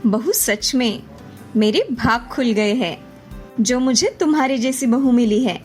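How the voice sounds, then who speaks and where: A woman voicing an affectionate middle-aged mother-in-law in an animated moral story, motherly and emphatic. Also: fairly high pitch, unhurried, faint music behind, lightly acted. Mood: delighted.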